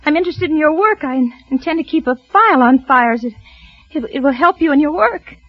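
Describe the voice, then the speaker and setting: An actress playing a nervous, secretive wife who stammers anxiously, in a 1940s radio drama. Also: female voice, sits high, expressive, a little fast, no echo. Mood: evasive. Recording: lo-fi old radio broadcast with music.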